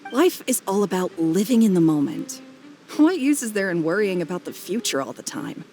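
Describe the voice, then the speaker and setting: Carefree townswoman, low-ish lively voice, casual, game music behind. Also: normal speed. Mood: breezy. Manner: breezy, casual, a bit dismissive. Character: easygoing townswoman living in the moment. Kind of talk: game cutscene dub. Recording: game score underneath.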